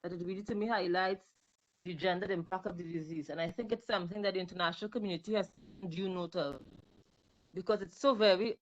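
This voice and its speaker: A woman's voice: lowish voice, fast, muffled recording. A woman from Trinidad and Tobago, commenting emphatically in a video call discussion and repeating words for stress. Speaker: panel audience member.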